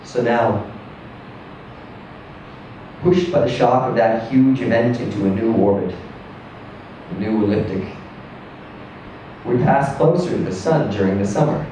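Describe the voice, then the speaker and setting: A man reading a literary short story aloud in a theatrical, emphatic manner, with deliberate pauses and swells. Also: medium pitch, dark tone. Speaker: story reader. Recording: distant echoey room with faint noise.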